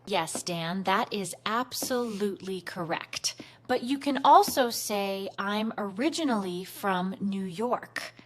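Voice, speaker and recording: A woman teaching a beginner English class, speaking clearly, patiently and encouragingly in a scripted lesson. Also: medium pitch, takes it slowly, few pauses, poor audio.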